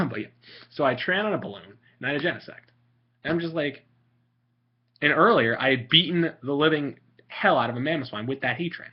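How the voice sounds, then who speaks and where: A man's voice: animated, brisk, long pauses, husky. A young man from Italy talking casually and sarcastically about game strategy, rambling with fillers. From Italian. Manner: sarcastic, mocking, frequent fillers. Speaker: vlogger. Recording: muffled low-fi home recording.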